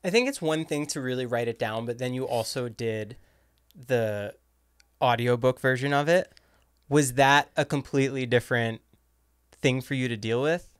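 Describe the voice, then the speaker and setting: A man's voice: medium pitch, moderate pace, smooth, no room sound, clean studio sound. A man hosting a podcast who asks a guest brief, curious questions in a casual interview. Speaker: podcast host.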